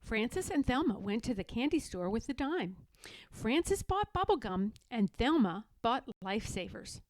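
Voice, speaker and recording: A woman's voice: fairly high pitch, sing-song, unhurried, a little mellow, no room sound. A woman narrating a children's storybook expressively as a read-aloud storyteller.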